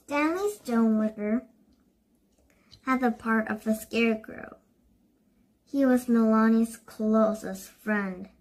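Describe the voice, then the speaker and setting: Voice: mid-range voice, takes it slowly, pauses often, poor audio. A child reading a storybook aloud, word by word, with stumbles and self-corrections.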